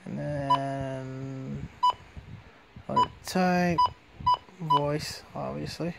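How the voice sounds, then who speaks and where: A man's voice: mid-range voice, steady tone, drawn-out words, vocal fry, heavy background noise. A man giving a casual, hands-on product review in an informal way, often repeating and rephrasing what he says. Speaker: gadget reviewer.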